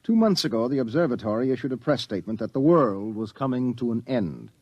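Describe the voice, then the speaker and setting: An actor playing a brash, persistent newspaper reporter in a radio drama, plain-spoken and insistent. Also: male voice, medium pitch, sing-song, quick pace, breathy edge, no echo, highs cut off.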